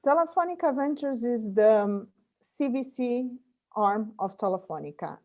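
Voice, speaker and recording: Woman, middle-aged, Portuguese speaker, soft dark tone, interview guest, explaining business. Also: fairly high pitch, animated, moderate pace. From Brazil. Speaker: guest, corporate venture investor. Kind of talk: interview answers about career and business.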